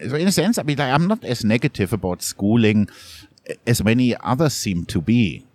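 A middle-aged man from Germany, a journalist being interviewed, talking in a halting way with many restarts and repeated words. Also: medium pitch, expressive, darkish tone, no room sound. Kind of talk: candid conversational interview.

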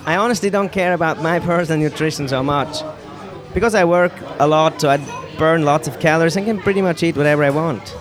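Swiss man, slightly high voice, busy street. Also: fast. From Switzerland. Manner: plain, easygoing answers with a light laugh. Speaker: guest, personal trainer. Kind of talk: casual conversation. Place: outdoors in a city. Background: music and crowd.

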